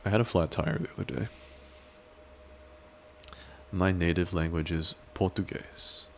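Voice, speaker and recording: A man chats on a live stream, wandering from joke to joke and teasing himself along the way. His voice sits very low. A faint hiss runs under the recording. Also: fairly even intonation, unhurried, vocal fry. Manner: rambling, joking, self-deprecating. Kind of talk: casual live-stream chat.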